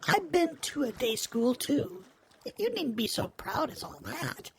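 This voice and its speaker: A curious young girl with a very high, lilting voice, earnest and polite, as a man puts her on. The pitch swings brightly, with a slight gravelly fry beneath it. She is a storybook heroine full of questions. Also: big pitch swings, unhurried, a little hiss or hum, heavily acted.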